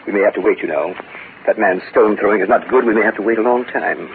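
An actor playing a brusque, dryly witty English court lord in 1930s radio drama style, pompous and emphatic. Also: male voice, fairly low pitch, quick pace, close to an everyday voice. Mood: wry, gossiping. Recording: scratchy, tinny 1930s radio recording with hiss.

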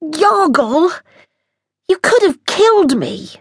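A woman's voice: extremely high pitch, very animated, takes it slowly, no room sound, studio quality, voice pushed up, exaggerated voice. A woman voicing a flustered young male spaceship captain who exclaims indignantly. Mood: outraged.

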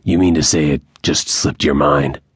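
A man reads as a stoic gun-for-hire in a western storybook. His voice is deep and husky, with a dry, close sound. He is terse, deadpan and gives little away. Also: normal speed, no echo, exaggerated voice. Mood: guarded.

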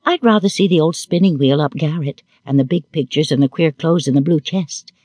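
Storybook role: quick-tempered little girl, bossy and eager, raised pitch male reader playing her, close dry sound. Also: expressive, dark tone, slightly rough, dry recording. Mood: impatient.

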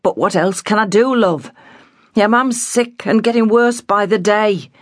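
A woman voicing a worried, quick-tempered working-class father, low, weary and pleading. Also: no echo, clean studio sound, close to an everyday voice.

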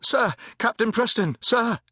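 A man voicing a nervous, embattled army private in an audiobook, shouting urgently. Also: very high-pitched, expressive, measured pace, husky, no echo, clean studio sound, voice pushed up, full character voice. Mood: urgent relief.

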